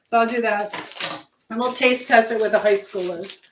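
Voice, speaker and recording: A woman's voice: a little high, normal speed. A woman chatting casually on a livestream as she bakes in her home kitchen, rambling and thinking aloud. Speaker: livestream host. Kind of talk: casual live-stream chat while baking. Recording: noticeable background noise, clicks.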